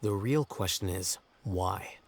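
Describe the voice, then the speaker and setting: An actor playing a composed, aloof young scholar in a video game, speaking in a measured, precise, deadpan way. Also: male voice, sits low, vocal fry, a little hiss or hum, full character voice. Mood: dry, explanatory. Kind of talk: video game story dialogue. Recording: music playing behind the voice.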